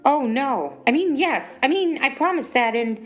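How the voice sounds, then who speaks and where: Woman acting a bubbly, flustered young woman, high-pitched. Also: moderate pace. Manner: playful, excitable, speaking as if on phone.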